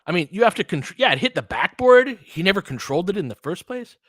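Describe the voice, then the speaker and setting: A man's voice: high voice, very animated, fast, a bit bright, no room sound, studio quality. A man doing casual live basketball play-by-play in short clipped phrases, with informal slang and a fan's partisan reactions. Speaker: play-by-play commentator.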